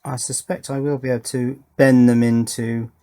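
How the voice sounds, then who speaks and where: A man's voice: medium pitch, flat delivery, moderate pace, dark tone. A man hosting an electronics build video, giving casual, unscripted hands-on commentary and thinking aloud as he works. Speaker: host of an electronics build channel.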